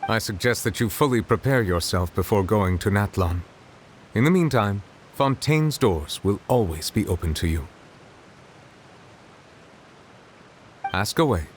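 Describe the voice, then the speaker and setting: A man voicing a grave, courteous ancient dragon in human form for an English-dubbed video game, speaking in a formal, measured, reserved manner. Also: on the low side, animated, noisy background, lightly acted. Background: background music.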